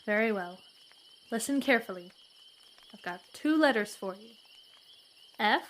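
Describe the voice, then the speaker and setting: A woman playing a learned, discreet frontier lady in a western audio comedy, speaking in formal, precise and measured words. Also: on the high side, expressive, slight background noise. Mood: guarded.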